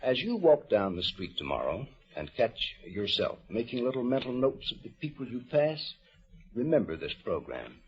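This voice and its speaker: A man with an American accent hosting and narrating a scripted mid-century radio program in a measured, literary storytelling manner. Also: medium pitch, wildly swooping pitch. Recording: muffled old radio recording.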